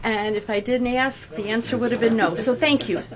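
A woman's voice: a little high, fairly even intonation, moderate pace, background noise. A woman co-hosting a radio show, speaking as a presenter who also reads sponsor spots. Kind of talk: radio talk and scripted sponsor read.

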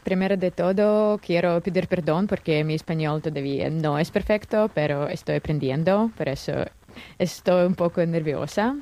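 A woman's voice: lowish voice, moderate pace, mellow. Hesitant woman with a Russian accent. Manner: careful, slightly hesitant, many fillers. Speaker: guest journalist. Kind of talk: interview answers.

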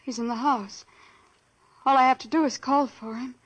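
An actress playing a gentle, earnest young woman who runs a small-town gas station, in 1940s radio style. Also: high voice, steady tone, moderate pace, husky.

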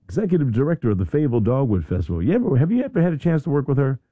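Animated middle-aged man hosting morning radio. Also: mid-range voice, racing, no room sound, narrow, tinny audio. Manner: animated storyteller, rambling with frequent restarts. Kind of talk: casual radio chat. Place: radio studio. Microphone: studio microphone.

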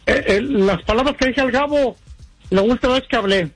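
A man's voice: very high-pitched, moderate pace, soft background music. A man calling in to a sports radio show, chatting casually with apologies and stumbling restarts. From Mexico. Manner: rambling, apologetic, stumbling over words. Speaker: caller. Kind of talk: casual call-in chat.

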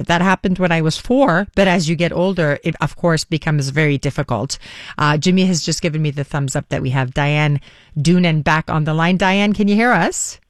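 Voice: medium pitch, no echo. A radio host whose first language is Greek, chatting energetically on live radio from a studio and steering an interview.

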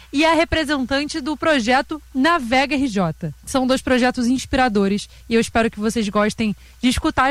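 A woman radio host from Rio. Her voice sits a little high, and the recording is dry, with very little room in it. She presents and asks questions as in a radio interview. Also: no echo. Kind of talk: radio interview and programme introduction.

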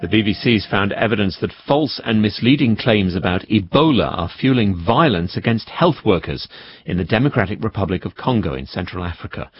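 A man presenting the news in a measured, formal way. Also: fairly low pitch, animated. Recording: dry, dull studio sound.

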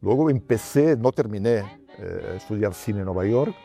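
A man's voice: fairly low pitch, animated, moderate pace, vocal fry, dark tone, soft background music. A middle-aged male filmmaker from Brazil, answering interview questions in a thoughtful, musing way with frequent tag questions. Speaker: documentary filmmaker.

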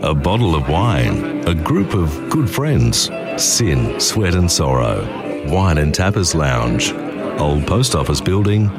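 A man with an extremely deep voice reads a bar ad slowly and seductively. He draws out each phrase over guitar music. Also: big pitch swings. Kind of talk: radio ad voice-over.

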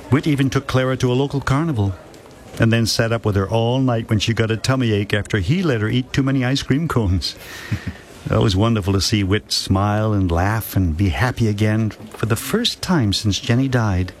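A man's voice: on the low side, normal speed, lightly acted. An actor playing a kind man who chuckles as he recounts past events in a radio drama. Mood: reminiscing. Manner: kindly, reminiscing, chuckling storyteller. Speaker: storyteller and friend.

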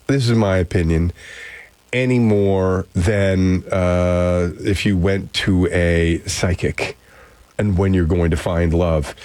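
A man hosting a call-in talk radio show, opinionated and ranting, with frequent filler pauses. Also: sits low, no room sound. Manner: opinionated, animated, ranting asides. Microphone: studio microphone.

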